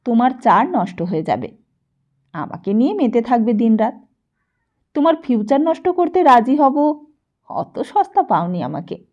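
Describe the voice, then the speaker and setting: A proud, practical young woman in an audiobook story, acted out by a woman narrator. She chides and teases her suitor in a fairly high, soft-toned voice. The recording is a little dull. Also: very animated, unhurried, long pauses. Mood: chiding.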